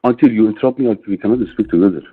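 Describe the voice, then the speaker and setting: A man calling into a heated debate, speaking haltingly with many pauses and repeating himself. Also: fairly low pitch, husky. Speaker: caller. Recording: tinny phone line.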